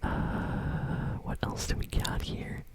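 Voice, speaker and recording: A woman hosting gaming news in ASMR style, whispering in a casual, conversational manner. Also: slow pace. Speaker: host of a gaming news show.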